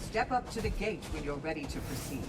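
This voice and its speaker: An actress playing a proud warrior leader in a video game, brisk and formal, with a curt, commanding manner. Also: female voice, low voice, very animated, over loud music. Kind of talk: dramatic video game acting.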